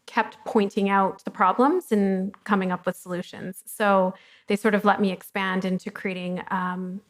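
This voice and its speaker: Woman, business co-founder, chatty interview guest, frank and casual. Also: medium pitch. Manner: chatty and candid, with frequent ums. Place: coworking studio, fireside chat before an audience.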